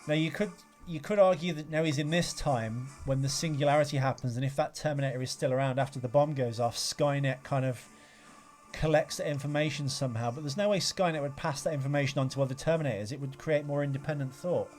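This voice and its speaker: Guy on a movie podcast talking real quick, analytical and rambly, music playing behind him. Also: medium pitch, a little mellow. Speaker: podcast co-host. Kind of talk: casual film discussion. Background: film soundtrack music.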